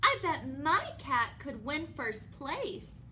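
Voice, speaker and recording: A woman's voice: sits high, slow, noisy background, voice pushed up, heavily acted. A woman voicing a gleeful, theatrical witch from a children's storybook. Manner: excited, theatrical, cackling. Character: gleeful cackling female witch, doting on her cat.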